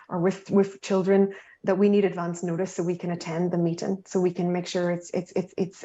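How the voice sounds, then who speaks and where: A woman's voice: fairly low pitch, warm tone, highs cut off. A woman on a panel answering questions in an earnest way, with many restarts and fillers.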